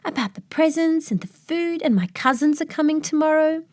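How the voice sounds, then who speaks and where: A woman voicing a sad, excited little boy who can't sleep, sniffling as he talks. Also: high-pitched, brisk, dry recording, clean studio sound, pitched above the performer's own voice. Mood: eager.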